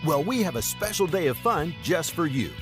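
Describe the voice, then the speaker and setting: A man announces a local commercial with a fairly high, bouncy voice. Guitar music plays clearly underneath the promotional read. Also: normal speed. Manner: lively, promotional. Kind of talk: upbeat ad read.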